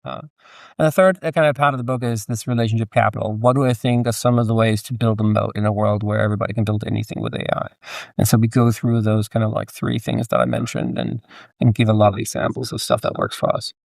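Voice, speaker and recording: A man with a Danish accent speaking casually as an interview guest, in a somewhat halting, hedging way. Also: lowish voice, steady tone, creaky, dry recording. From Denmark. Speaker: podcast guest, entrepreneur and author.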